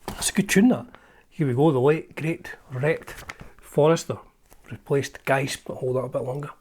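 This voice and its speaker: A man's voice: medium pitch, steady tone, moderate pace, darkish tone. A middle-aged man with a Scottish accent, hosting and chatting casually as he flips through an old magazine, rambling with frequent ums and restarts. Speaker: host.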